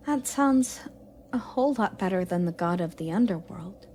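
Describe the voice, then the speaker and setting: A woman playing a curious, playful young goddess in an audio roleplay, sweet and expressive with a slightly haughty streak. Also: medium pitch, slight background noise. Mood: earnest. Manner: inquisitive, expressive, cooing at a pet. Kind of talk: romantic audio roleplay addressed to the listener.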